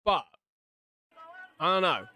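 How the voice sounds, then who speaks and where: A man's voice: high-pitched, sing-song, takes it slowly, sharp tone. A man giving casual, informal reaction commentary as a video host, interjecting with incredulous remarks.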